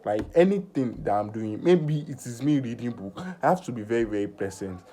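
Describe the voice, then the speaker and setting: A nineteen-year-old man talking through a personal vlog in earnest self-talk, halting with many fillers and restarts. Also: medium pitch, lively intonation, mellow. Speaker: vlogger.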